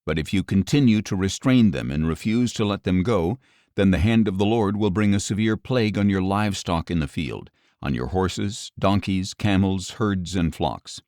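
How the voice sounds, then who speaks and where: A man reading scripture as an audiobook narrator in a measured, solemn manner. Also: low-pitched, darkish tone, no echo, studio quality.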